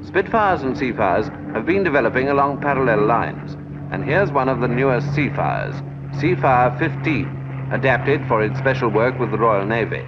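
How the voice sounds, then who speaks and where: A man reading scripted narration for a 1940s military training film in a measured, instructive way. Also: medium pitch, animated. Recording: dull noisy 1940s film soundtrack.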